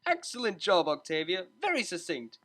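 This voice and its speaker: A woman's voice: lowish voice, sing-song, normal speed, fairly bright tone, voice pushed up. A woman voicing a cheerful, unflappable male pony tutor in a story, chatty, upbeat and coaxing. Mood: approving.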